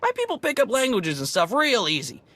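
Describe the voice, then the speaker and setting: A woman voicing an excitable, chatty alien local in a video game, gushing and informal, with a husky edge. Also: fairly high pitch, a little fast, no room sound, exaggerated voice. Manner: gushing, breezy, informal slang. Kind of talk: video game cutscene acting.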